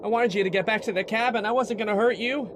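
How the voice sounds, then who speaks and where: A man's voice: sits high, fairly even intonation, fast, a little hiss or hum, natural voice. A man playing an anxious young man who calls out pleadingly to his partner in the snow, in an old-time radio drama. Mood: desperate. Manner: pleading, calling out.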